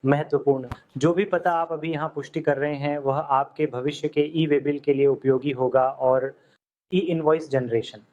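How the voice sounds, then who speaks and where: A man teaching accounting software step by step, in steady instructional tones over a screen recording. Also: a little high, normal speed. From India. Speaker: software tutor. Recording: dull lo-fi audio.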